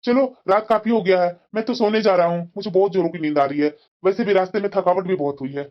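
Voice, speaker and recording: A woman's voice: on the low side, fast talker, natural voice. A woman voicing a placating, reasoning husband and brother in a family cartoon drama. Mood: dismissive, soothing. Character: placating adult man, husband and protective brother. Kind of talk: Hindi family drama storytelling. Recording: dull, lo-fi sound.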